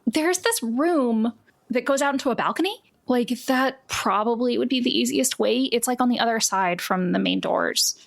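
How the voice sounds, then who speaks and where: A woman's voice: fairly high pitch, normal speed, vocal fry. A woman running a tabletop game as game master, improvising narration in an informal, conversational way.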